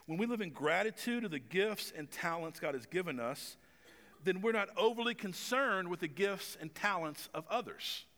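Bright-voiced pastor in a reverberant hall. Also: male voice, sits high, lively intonation, normal speed. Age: 40s. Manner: conversational and self-deprecating, with stumbles and fillers. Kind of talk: sermon. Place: church stage with long reverb.

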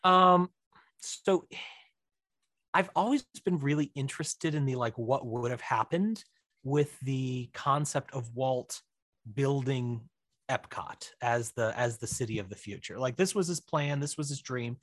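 A man's voice: medium pitch, measured pace, fairly bright tone, no room sound. Chatty man in a dry, close recording. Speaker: interview guest, writer-director. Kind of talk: casual podcast interview.

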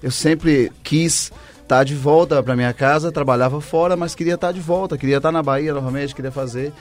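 A man's voice: a little high, fairly even intonation, moderate pace. A man with a Brazilian accent chatting effusively and in a rambling way as a singer-songwriter guest in a radio interview. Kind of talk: casual radio interview chat. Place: radio studio. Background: music.

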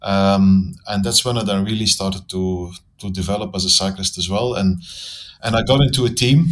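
A Belgian man with a Flemish accent talks through his story as an interview guest, his voice sitting on the lower side. He speaks thoughtfully and unhurriedly, with plenty of ums and small restarts. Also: moderate pace, fairly bright tone. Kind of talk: casual interview conversation.

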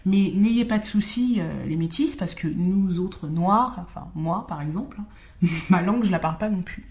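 A woman's voice: lowish voice, normal speed, darkish tone. A woman co-hosting a casual conversation, chatty, with easy laughs and many fillers.

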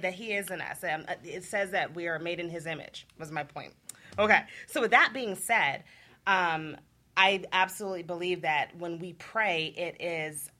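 A woman talks as a panelist in an informal discussion. Her voice is bright and forceful, a little on the low side. She is candid and works her thoughts out aloud.

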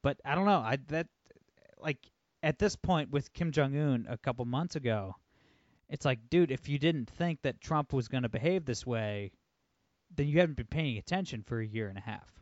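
A man hosting a podcast, talking politics casually in a quick, emphatic way full of "like" and "you know". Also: medium pitch, lively intonation, no room sound. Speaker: podcast host. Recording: dull lo-fi, dry sound.

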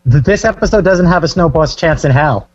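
A man's voice: on the high side, lively intonation, brisk, no room sound. A man co-hosting a podcast by call, talking casually in short, quippy lines. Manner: terse, quippy. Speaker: podcast co-host. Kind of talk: casual joking chat over a call. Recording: dull lo-fi call with mains hum.

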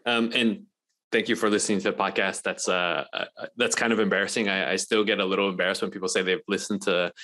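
Very deep-voiced man chatting with fillers. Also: quick pace. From Malaysia. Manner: relaxed, rambling with many fillers. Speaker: podcast guest, accelerator co-founder. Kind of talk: casual interview talk.

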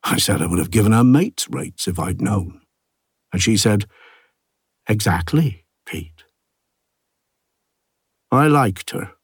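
A man narrating an audiobook as an ageing village artist, a plain-spoken, gruff and reflective loner, with a husky low voice and a wry, understated delivery. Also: expressive, slow pace, long pauses, no echo, clean studio sound, exaggerated voice. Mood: fond, wry. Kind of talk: first-person literary audiobook narration.

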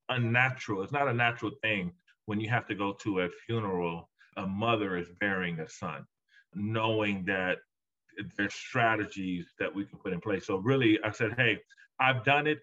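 A man's voice: on the low side, pushed and bright, highs cut off. A man, a guest author and education consultant, answering interview questions emphatically, his voice rising when he stresses a point. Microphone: call audio.

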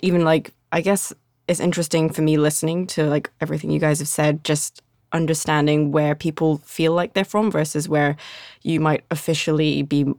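A podcast host talks in a loose, chatty way and fills the gaps with "like". The voice is mid-pitched with a gravelly fry. The sound is dry and close to the mic. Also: little pitch movement, a little fast, a little mellow, no echo. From London, partly raised in Singapore. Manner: chatty, heavy use of "like". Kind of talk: casual podcast chat.

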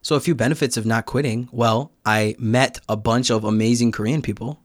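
A man's voice: mid-range voice, fairly even intonation, brisk, dry recording. A young American man talking reflectively as a podcast guest, explaining his thoughts in a casual conversational way. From United States. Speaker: podcast guest, Korean learner.

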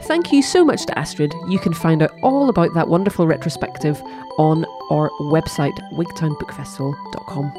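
A woman's voice: low voice, normal speed, few pauses, music in the background. A woman hosting a festival interview, asking questions and introducing guests. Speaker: festival podcast host.

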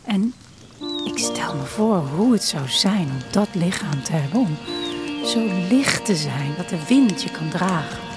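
A woman's voice: lowish voice, lively intonation, measured pace, over loud music. A woman reading first-person literary fiction as the narrator of an audio drama.

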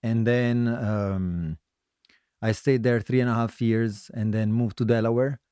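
A middle-aged man with an Italian accent talks casually about himself as an interview guest. His voice sits at a medium pitch and is recorded very dry. He often restarts and corrects himself, with a joking streak. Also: steady tone, mellow, smooth, dry recording. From Italy. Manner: halting, self-correcting, joking. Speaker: guest musician, singer-songwriter.

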